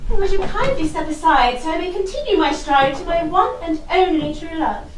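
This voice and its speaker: An actress playing a prim, exasperated young heroine, dramatic and primly aghast in a comic costume drama. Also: female voice, extremely high pitch, animated, normal speed. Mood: politely uncomfortable. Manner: dramatic, polite but aghast. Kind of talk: comic costume-drama stage acting in rhyme. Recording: echoey stage, far from the mic.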